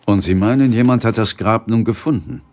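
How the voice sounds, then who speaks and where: An actor playing a composed police inspector in an audio drama, matter-of-fact and dry. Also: male voice, on the low side, brisk, a little mellow, no echo, natural voice. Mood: businesslike. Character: composed, probing male London police inspector. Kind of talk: German radio play dialogue and narration.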